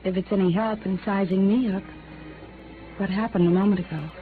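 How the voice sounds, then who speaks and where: A tinny vintage radio recording with faint music carries a coy leading lady acted by a woman. Her mid-pitched voice is tender, soft and intimate. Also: fairly even intonation, fairly quick, close to an everyday voice. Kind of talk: 1950s radio drama acting.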